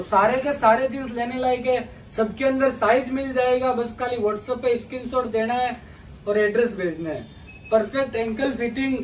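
A man in his thirties pitches jeans in a large clothing store, his voice set unusually high for a man. He talks in a lively, pushy salesman's patter, calling out to viewers like brothers. The camera sits a little away from him, leaving a faint hum of shop noise behind his voice. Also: recorded from a distance, poor audio. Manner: energetic hard-sell patter, calls viewers brother. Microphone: camera mic at a distance. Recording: voice from off mic with faint room noise.

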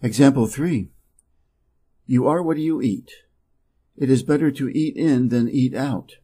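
A man from Wisconsin with an American Midwest accent, an English teacher reading practice dialogues slowly and playing both parts. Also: warm tone, husky. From Wisconsin, USA. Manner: reads both dialogue parts, raising his pitch for speaker A.

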